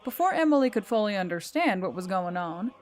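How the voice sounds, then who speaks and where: A woman reading a story aloud as an expressive narrator. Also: mid-range voice, fairly quick. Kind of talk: expressive audiobook narration of fiction.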